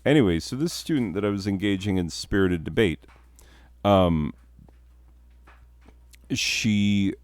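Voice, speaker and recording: A man, a teacher, chatting casually in a deep voice with a downward, newscaster-like inflection that sounds authoritative. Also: a little slow, long pauses, darkish tone, no echo. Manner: rambling with restarts and chuckles. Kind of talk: casual chat.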